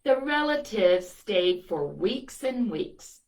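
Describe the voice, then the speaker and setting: Woman, children's storytime reader, unhurried pace, mid-range voice, expressive delivery, picture-book narration. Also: mid-range voice, slight background noise. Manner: expressive storytelling with asides to young listeners.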